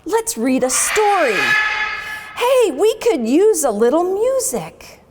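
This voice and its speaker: A woman voicing a perky, energetic young female sloth from a storybook, eager and bouncy, in an echoing space. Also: very high-pitched, slow, background noise, pitched above the performer's own voice, heavily acted.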